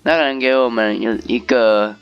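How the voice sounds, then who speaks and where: A man's voice: medium pitch, moderate pace, clean tone, lo-fi sound. A man giving casual game commentary and reading story text aloud. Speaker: gaming video host. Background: game music.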